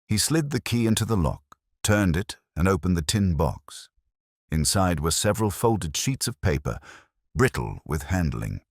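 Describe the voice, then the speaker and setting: A man's voice: low-pitched, no room sound, studio quality. A man reading a scripted hardboiled detective story as an audiobook narrator.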